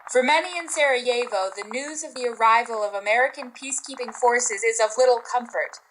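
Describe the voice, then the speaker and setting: A woman's voice: fairly high pitch, brisk, lightly acted. A woman playing a grave foreign correspondent delivering a formal scripted war report in a radio drama. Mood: sober, urgent. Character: sober female war correspondent reporting from the field. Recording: noisy field report with clicks.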